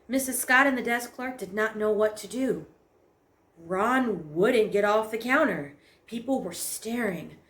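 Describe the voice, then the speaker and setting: A woman narrating a children's story as a storytime host, in a measured, expressive read-aloud manner. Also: medium pitch. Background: prominent piano music score.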